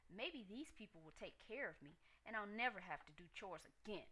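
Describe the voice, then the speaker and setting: A woman's voice: mid-range voice, lively intonation, normal speed, sharp tone, husky. A woman voicing a cute, bratty, picky little girl from a children's storybook, self-satisfied and petulant. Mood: smug.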